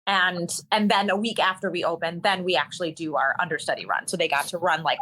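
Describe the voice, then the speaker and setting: Bright-voiced woman telling a backstage story. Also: fairly low pitch, fairly even intonation, quick pace, rough recording. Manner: chatty, many fillers and restarts. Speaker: stage actor and singer, guest. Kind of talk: casual conversational storytelling.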